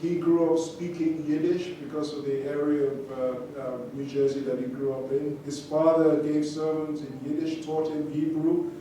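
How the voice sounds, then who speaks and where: A man's voice: a little high, little pitch movement, moderate pace, hardly any pauses, dark tone, slight background noise. A man telling a life story to a live audience between songs, talking conversationally with fillers and restarts. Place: concert venue. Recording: distant echoey hall recording with audience ambience.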